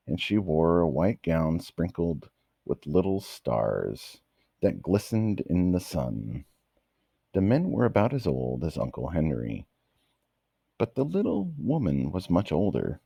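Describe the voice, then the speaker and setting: A man with a gravelly, husky voice reading a children's bedtime story aloud in an expressive, dramatic manner. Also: extremely low pitch, no echo, clean studio sound.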